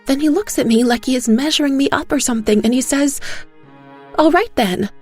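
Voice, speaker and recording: A woman's voice: high-pitched, a little fast, darkish tone, faint music behind, voice pushed up, lightly acted. A woman voicing a frightened, anxious woman accomplice in an audio drama, insistent and defensive as she talks. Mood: anxious, rattled. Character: Gloria, panicky frightened woman accomplice on the phone. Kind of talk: audio drama character acting.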